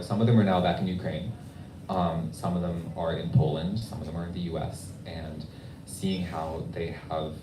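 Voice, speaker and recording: A man speaking conversationally as an author at a question-and-answer event, thoughtful and halting with pauses. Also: sits low. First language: English. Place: bookstore event room. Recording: echoey room, distant mic, noisy.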